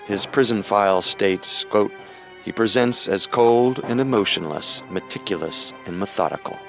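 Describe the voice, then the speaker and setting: A man co-hosting a scripted true-crime programme, narrating in a measured, dramatic way with music behind him. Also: lowish voice. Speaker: co-host narrator.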